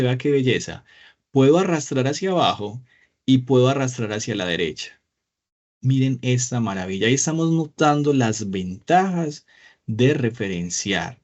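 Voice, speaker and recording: Encouraging teacher, slightly dull sound. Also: male voice, mid-range voice, darkish tone. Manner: patient, encouraging, asks the class questions. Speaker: Excel teacher. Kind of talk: live online class with screen share. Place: small room indoors.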